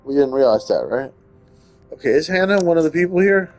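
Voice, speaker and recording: A man's voice: a little high, expressive, fairly quick, darkish tone, faint music behind. A man giving casual gameplay commentary and reading story text aloud, often stumbling and rereading. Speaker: gaming streamer.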